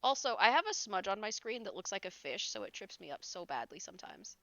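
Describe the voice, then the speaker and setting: Young woman streamer, hoarse and gravelly, lively pitch, chatty with frequent laughs. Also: a little fast, dry recording, dull audio. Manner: chatty, reads chat aloud, laughs often. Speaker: live streamer. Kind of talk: casual chat while gaming.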